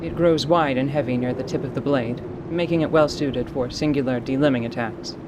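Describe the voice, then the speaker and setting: Stoic veteran mercenary for fantasy audio drama male actor, mid pitch, dry, formal, matter-of-fact, noisy backdrop. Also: lightly acted. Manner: dry, formal, deliberate speech. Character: battle-worn winged mercenary, stoic, wry and matter-of-fact.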